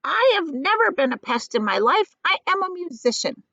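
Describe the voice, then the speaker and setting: A woman's voice: very high-pitched, very animated, moderate pace, creaky, pushed and bright, dry recording, dull audio, voice pushed up, heavily acted. A woman voicing a dignified, stern old male grasshopper in a children's storybook. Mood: withering.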